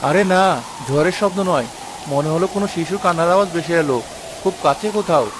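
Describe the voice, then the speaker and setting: Man acting as village father, kind-hearted, earnest, high pitch, expressive cartoon dialogue, clear background noise. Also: fast talker, natural voice. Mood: anxious, alarmed.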